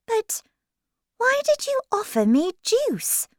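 A woman's voice: extremely high pitch, huge pitch swings, slow, no room sound, studio quality, pitched above the performer's own voice, exaggerated voice. A woman voicing a polite but indignant young girl in a children's story, with careful, spaced-out words. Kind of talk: slow children's storybook character voice.

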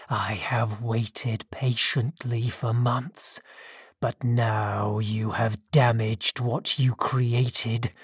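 A reader voicing a lonely, vengeful male monster with a slow, low, whispered menace. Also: slightly rough, no room sound, studio quality. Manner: low hushed threatening delivery.

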